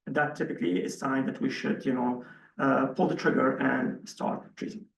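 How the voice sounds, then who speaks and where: A man's voice: medium pitch, fairly even intonation, normal speed, gravelly, highs cut off. A man physician at a hotel conference podium, methodically explaining treatment choices with frequent fillers. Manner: methodical, hesitant with many fillers. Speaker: physician on a panel. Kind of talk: conference Q&A answer. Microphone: podium mic, heard from the room camera. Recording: echoey room, distant mic.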